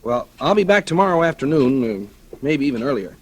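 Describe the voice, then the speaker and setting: An actor with an American accent playing a casual, calculating, scheming husband in a 1940s radio thriller. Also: male voice, medium pitch, sing-song, fairly quick, lightly acted. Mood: falsely solicitous. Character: smooth-talking, scheming husband plotting murder. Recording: noisy old radio recording.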